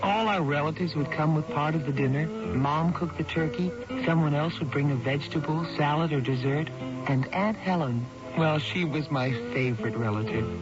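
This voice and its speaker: A woman's voice: deep voice, dull audio. A woman reading a scripted, nostalgic radio advertisement as a personal reminiscence. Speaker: advertisement speaker.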